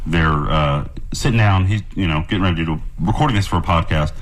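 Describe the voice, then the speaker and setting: A man's voice: deep voice, brisk. A man, a guest musician on a radio show, talking in a loose, friendly, slightly rambling way. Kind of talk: casual radio studio chat. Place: radio station studio.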